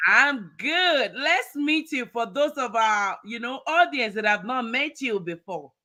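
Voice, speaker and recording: Woman, host, live motivational show, bright tone, a bit high, energetic, repeats words. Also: unhurried. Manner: energetic, repeats words, ends phrases with "right?".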